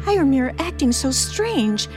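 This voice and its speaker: An actress playing a frightened, pleading wife in 1940s radio suspense style, hesitant, breathless and theatrical. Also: female voice, sits high, big pitch swings, a little slow. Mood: nervous pleading. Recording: old radio drama, faint music behind.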